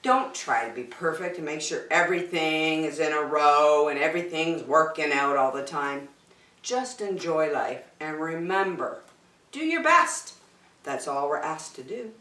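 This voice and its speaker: Female storytime host: lowish voice, very animated, measured pace, reading to children in a roomy space. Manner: expressive, addresses listeners as friends. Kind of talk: children's picture-book read-aloud. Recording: roomy indoor echo.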